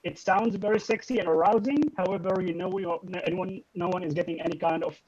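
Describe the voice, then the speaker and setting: A man's voice: high-pitched, fairly even intonation, fast, a little mellow, slightly rough, dull audio. A man with a Syrian Arabic accent, an Arabic speaker, doing a stand-up set from his bedroom with dry one-liners. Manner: dry one-liners delivered with light laughs. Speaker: stand-up comedian. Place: bedroom at home.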